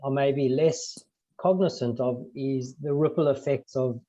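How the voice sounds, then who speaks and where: A man's voice: medium pitch, a little slow, warm tone, dull audio, slight background noise. A South African man in his forties reflecting on a panel over a video call with earbuds, often repeating words as he thinks. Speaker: business panelist. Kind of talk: online panel discussion.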